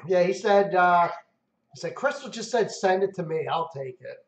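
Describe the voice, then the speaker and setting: A man's voice: high-pitched, expressive, moderate pace, slight background noise. Joking man hosting a live stream. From Ohio. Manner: joking, reads viewer comments aloud, drinking beer. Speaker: livestream host. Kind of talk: casual live stream chat. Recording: echoey room, dull lo-fi sound.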